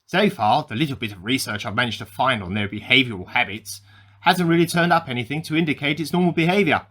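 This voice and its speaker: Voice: medium pitch, a bit bright, husky. An actor in a radio play playing a bemused amateur scientist who keeps a spoken diary, musing aloud in an earnest, slightly formal and self-questioning way. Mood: puzzled musing. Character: bemused amateur scientist keeping an audio diary, increasingly suspicious.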